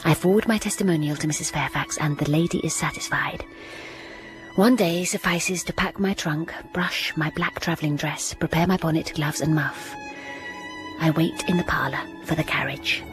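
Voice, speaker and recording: An actress playing a reserved young governess, narrating in a soft, restrained voice in a radio drama. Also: female voice, deep voice, moderate pace, natural voice. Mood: reflective. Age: young adult. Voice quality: soft, restrained, often near a whisper. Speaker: narrator and lead. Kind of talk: first-person drama narration. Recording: narration over music score.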